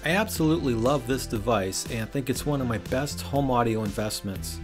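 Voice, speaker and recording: A man hosts a gear review in scripted narration. His voice sits at an average pitch for a man. He explains things step by step, with light music behind him. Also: moderate pace. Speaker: product reviewer and host.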